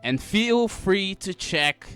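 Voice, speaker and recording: A man's voice: sits high, expressive, slow, sharp tone, clear, resonant tone, soft background music. A man giving casual, playful playthrough commentary, talking back to game characters and reading dialogue aloud. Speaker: gamer playing on camera or microphone.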